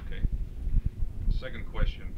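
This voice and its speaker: A man politely and haltingly reading out written questions at a live session. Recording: muffled, very noisy 1980s cassette.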